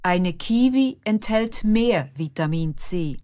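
A woman reading simple lesson sentences slowly and distinctly, repeating each one. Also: on the low side, lively intonation, dark tone. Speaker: language lesson narrator. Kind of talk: slow, clearly articulated example sentences, each said twice.

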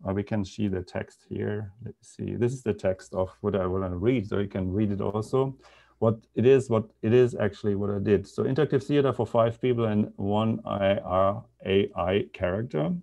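A middle-aged German-accented man with a low, creaky voice presents his work on a video call. He speaks informally and haltingly, working out his thoughts as he goes. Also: dark tone. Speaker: presenter, media artist and professor. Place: indoor room on a video call.

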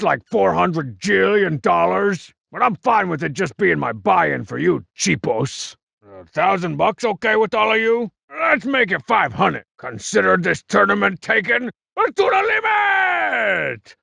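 An actor playing a cocky, boastful, juvenile cartoon villain for a comedy video game, with a raspy growl and mocking, slangy tough-guy delivery. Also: high voice, very animated, a little slow, hardly any pauses, bright, forceful tone, no echo, full character voice. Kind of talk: exaggerated comic video game character acting.

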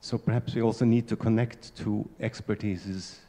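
A low, mellow male voice answers from the stage of a large lecture hall. He reflects unhurriedly, and a long hall reverb trails his words. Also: fairly even intonation, moderate pace. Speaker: keynote speaker. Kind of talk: answering questions after a talk. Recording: echoey hall.

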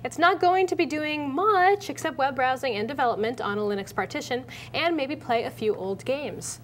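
A woman's voice: high-pitched, normal speed, a little hiss or hum. A woman in her thirties with an American accent, casually presenting and reading aloud in an upbeat, playful manner as a show co-host. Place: TV studio at a desk.

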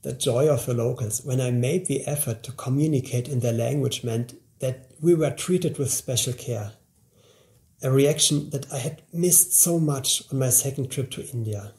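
Man, 40s, presenter for a course ad; low-key mellow tone with gravel, earnest pitch to camera. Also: moderate pace. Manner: earnest, upbeat sales pitch. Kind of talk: scripted promotional talk to camera. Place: indoor studio, plain grey backdrop, seated at a table.

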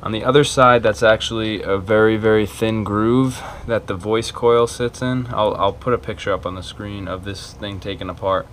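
A man's voice: on the low side, fairly even intonation, normal speed, hardly any pauses, a little hiss or hum. A man talking casually and a little hesitantly through a hands-on repair tutorial, unscripted and informal. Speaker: video maker giving a repair tutorial. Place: small cluttered carpeted room indoors.

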